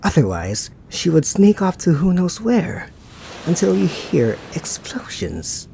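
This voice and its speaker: A man playing a suave, teasing, laid-back young knight in an ASMR roleplay, talking to the listener in an unhurried, musing way. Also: high-pitched, sing-song, vocal fry, mellow, a little hiss or hum, heavily acted. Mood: soft, coaxing.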